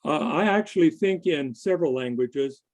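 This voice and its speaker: A man giving a conversational presentation to a group in a halting, deliberate manner. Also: a little high, animated, darkish tone. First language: English. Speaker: conference presenter. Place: online video meeting.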